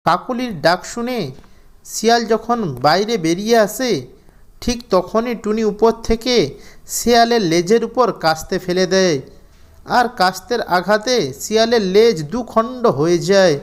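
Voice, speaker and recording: A man's voice: high-pitched, measured pace, smooth. A man narrating a scripted children's story.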